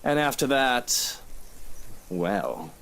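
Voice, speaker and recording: Flamboyant husband, swooping pitch. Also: male voice, sing-song, very slow, gravelly, a bit bright, exaggerated voice. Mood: playful, flirtatious. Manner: theatrical, teasing, grandiose. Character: flamboyant, charming, breezy wealthy husband in visual novel. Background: faint music.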